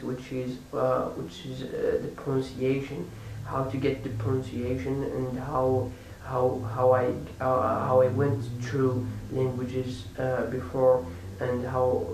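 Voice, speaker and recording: A man with a non-native accent speaks off the cuff in a casual vlog style, at an ordinary male pitch. He moves forward haltingly, doubling back on words as he looks for the next phrase. The recording sounds roomy and far from the microphone. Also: gravelly, darkish tone. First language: not French or English. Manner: halting, repeats words while searching for phrasing. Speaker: amateur language-learning vlogger. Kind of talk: casual unscripted vlog talk. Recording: echoey room, distant mic, faint hiss.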